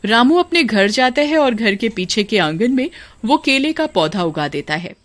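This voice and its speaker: A woman's voice: fairly high pitch, expressive, moderate pace. A woman narrating a scripted folk tale as a storyteller.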